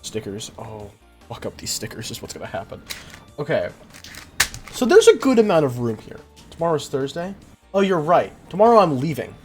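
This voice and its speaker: A man's voice: fairly high pitch, sing-song, moderate pace, darkish tone, soft background music. A young adult man livestreaming in a casual, slangy, profane and self-deprecating way while he chats with viewers. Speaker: livestreamer, host.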